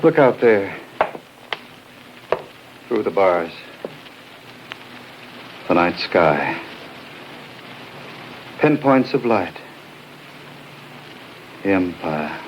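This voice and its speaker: An actor playing a desperate, bitter scientist in an impassioned 1950s radio drama. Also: male voice, low-pitched, lively intonation, very slow, pauses often, full character voice. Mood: weary, bitter. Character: desperate, bitter male scientist pleading to save the galaxy. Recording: muffled, noisy old radio recording.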